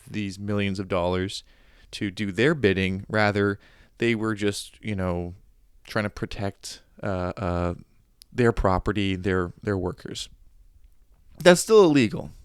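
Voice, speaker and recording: A man's voice: fairly low pitch, clear, resonant tone, no room sound, studio quality. A man hosting a podcast, giving casual, sarcastic commentary with joking asides. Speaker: podcast host.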